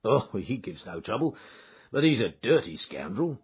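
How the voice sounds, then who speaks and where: Man narrating, putting on a big hearty old-school inspector, loud and bluff, voice swings around, slightly muffled recording. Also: fairly low pitch, very animated, moderate pace, breathy edge, exaggerated voice. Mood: matter-of-fact. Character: bluff, hearty middle-aged male police inspector.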